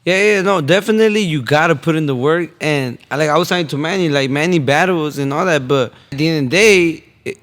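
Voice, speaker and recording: A man's voice: fairly high pitch, a little fast, few pauses, fairly bright tone, no room sound. A man in his twenties hosting a podcast, chatting casually and informally with slangy questions. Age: 20s. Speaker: podcast host. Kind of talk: casual interview chat.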